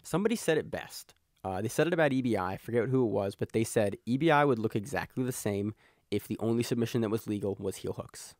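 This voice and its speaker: A man's voice: lowish voice, animated, fast, darkish tone, dry recording. A man co-hosting a podcast in casual chat, joking and talking over his co-host, recorded on a close mic.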